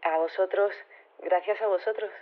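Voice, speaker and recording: Earnest concerned campaigner on a tinny phone call, chatty radio drama interview. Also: female voice, medium pitch, steady tone, moderate pace. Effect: phone filter. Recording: thin narrow phone line.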